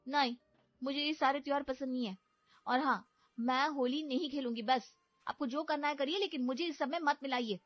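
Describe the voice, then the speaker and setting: A cranky young bride who can't stand festivals, played by a woman, high-pitched and snappish in a cartoon story. She complains sharply over a dull, lo-fi, dry recording with faint music underneath. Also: brisk, no echo, natural voice. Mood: angry.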